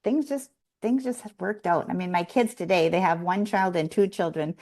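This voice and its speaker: Low, gravelly-voiced woman guest. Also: moderate pace, a little mellow. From Canada. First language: Hungarian. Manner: frank, reflective, rambling with restarts. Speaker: interview guest. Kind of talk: candid personal storytelling.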